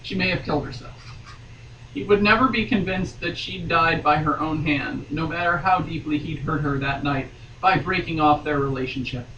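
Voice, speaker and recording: A woman's voice: sits low, normal speed, a bit bright. A woman reading a novel aloud dramatically, breaking off for playful, mock-dramatic asides. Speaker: podcast guest reading a romance novel aloud. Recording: dull, roomy, distant mic, faint noise.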